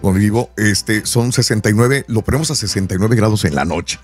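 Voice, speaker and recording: A man with a Mexican accent hosting a morning radio show in lively, slangy, playfully teasing casual banter. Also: fairly low pitch, a little fast, soft background music.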